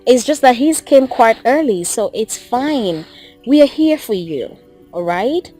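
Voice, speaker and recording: Very high female voice, Nigerian accent, anxious doting wife, screen drama, music behind. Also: big pitch swings, normal speed, fairly bright tone, exaggerated voice. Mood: soothing, concerned. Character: anxious, doting wife comforting her crying husband. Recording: music under the dialogue.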